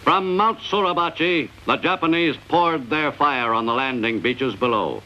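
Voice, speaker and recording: A man's voice: mid-range voice, moderate pace. American newsreel narrator, man, muffled noisy film. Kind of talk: scripted 1940s-style newsreel narration.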